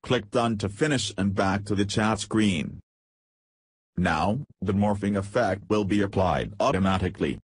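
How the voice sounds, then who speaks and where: A man narrating a scripted software tutorial in a flat, read-aloud instructional way. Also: lowish voice, measured pace, husky, no room sound.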